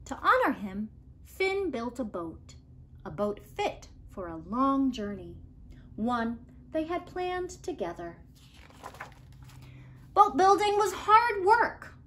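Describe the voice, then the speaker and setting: A woman in her thirties reading a picture book aloud to children in an expressive, deliberate storytelling style. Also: high-pitched, a little hiss or hum. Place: living room at home, indoors.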